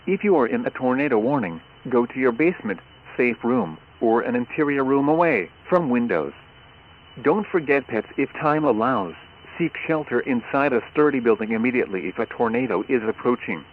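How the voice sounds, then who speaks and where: Synthetic male weather radio announcer, tinny. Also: mid-range voice. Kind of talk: automated weather broadcast. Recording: tinny radio broadcast with faint hiss.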